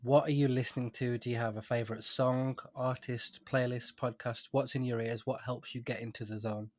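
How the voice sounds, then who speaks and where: A man's voice: medium pitch, flat delivery, a little mellow. Man hosting a casual podcast. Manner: friendly, quick affirmations and laughs. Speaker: podcast host.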